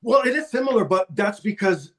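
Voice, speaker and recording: A man's voice: high-pitched, animated, fairly quick, a loud crowd. A middle-aged man from Toronto, a podcast guest talking casually and at length, rambling a little with restarts. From Toronto, Canada. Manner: chatty, rambling, self-deprecating with restarts. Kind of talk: casual interview talk.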